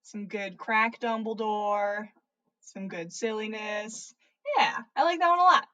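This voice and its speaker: A woman's voice: mid-range voice, a little slow, bright, forceful tone, lo-fi sound. A woman podcast host with an informal manner, reading stories aloud and chatting casually. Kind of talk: animated story reading and casual livestream chat.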